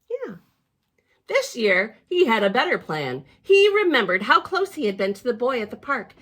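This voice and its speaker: A woman reading a picture book aloud to young children as a library storyteller, in a theatrical, expressive manner with chatty asides. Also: sits high, breathy edge.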